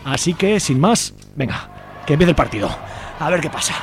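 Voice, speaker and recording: A man with a Spanish accent from Spain hosts a radio show in a playful, chatty way. His voice sits fairly high for a man, and light music plays behind him. His delivery is informal and colloquial. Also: animated, normal speed. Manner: colloquial, jokey.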